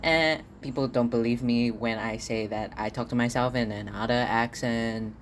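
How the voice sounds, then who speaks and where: A man's voice: medium pitch, fairly even intonation, normal speed, slight background noise. A young man from Singapore, talking alone in a casual podcast diary at home, ranting and swearing with stammered repeats. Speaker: podcast host.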